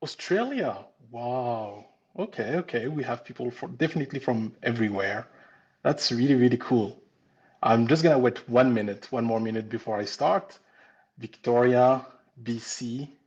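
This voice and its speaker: A man's voice: mid-range voice, normal speed, darkish tone, muffled recording. A man with a French accent, a pastry instructor, cheerfully greeting viewers one by one as a live lesson begins. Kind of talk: live-stream greeting chat. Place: home kitchen.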